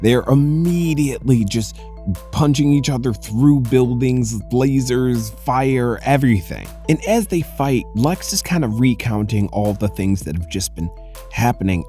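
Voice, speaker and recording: A man hosting a casual commentary recap, telling the story in an animated, conversational way. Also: medium pitch, unhurried. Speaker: comics recap host. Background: music.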